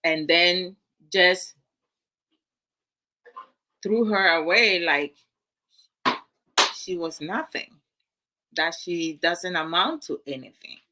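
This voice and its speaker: A woman's voice: fairly low pitch, slow pace, pauses often, pushed and bright, low-quality recording. A woman from Ghana, giving casual commentary to her live viewers in a musing, hesitant way with many fillers. Her is Twi. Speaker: vlog host.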